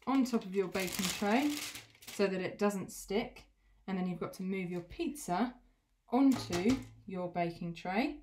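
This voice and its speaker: A young woman teacher in her home kitchen, gently explaining each cooking step to children as she works. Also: medium pitch, a little hiss or hum.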